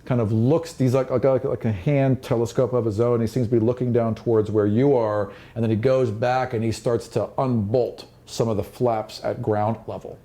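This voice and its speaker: A man's voice: mid-range voice, fairly even intonation, normal speed, hardly any pauses, mellow. A man narrating an improvised fantasy tabletop game as game master, descriptive and conversational, often repeating phrases as he explains.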